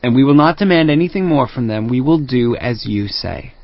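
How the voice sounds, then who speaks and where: A man's voice: medium pitch, expressive, a little fast, dry recording, highs cut off, close to an everyday voice. An actor playing a chastened, compliant nobleman, speaking earnestly and submissively in a scripture drama. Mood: contrite.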